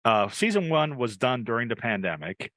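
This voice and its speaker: A man's voice: medium pitch, very animated, normal speed, fairly bright tone, dry recording. An American man hosting a podcast, asking questions and chatting casually with a guest. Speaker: podcast host. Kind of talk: casual interview chat.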